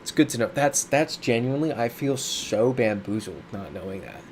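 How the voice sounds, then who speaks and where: A man's voice: medium pitch, expressive, normal speed, a little hiss or hum. A man live-streaming a game, chatting casually as he reads viewer comments aloud and riffs on them. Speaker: streamer reading chat. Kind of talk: casual live-stream chat while playing a geography game. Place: his home in a big city.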